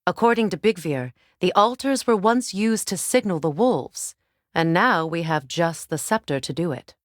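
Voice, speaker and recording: Casting: actress as regal Norse sorceress-warrior for a game, lowish female voice, grave and commanding, dry close sound. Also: no room sound, lightly acted. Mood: composed, commanding. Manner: measured, deliberate, formal phrasing. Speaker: voice actor in a video game. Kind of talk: dramatic game character dialogue.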